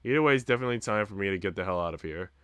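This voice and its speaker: Smooth, lowish-voiced man reading aloud. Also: animated, racing, fairly bright tone, no echo. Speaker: streamer reading a visual novel aloud. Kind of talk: expressive first-person story narration with casual asides.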